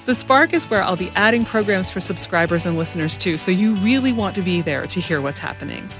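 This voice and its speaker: A woman's voice: on the low side, animated, brisk. Woman podcast host with music behind. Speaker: podcast host and creativity coach. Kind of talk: scripted podcast introduction and interview.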